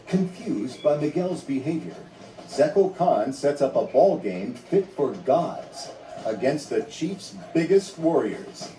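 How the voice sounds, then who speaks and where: Measured, expressive male storybook narrator. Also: fairly high pitch, echoey room, distant mic, low-quality recording. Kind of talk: scripted storybook read-along narration.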